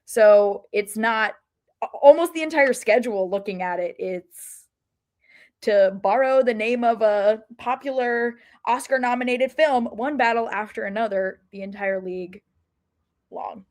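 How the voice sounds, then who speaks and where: A woman's voice: a little high, a little slow, darkish tone. A woman co-hosting a podcast, talking through sports analysis casually in a thoughtful way, often correcting herself mid-sentence. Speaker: podcast co-host.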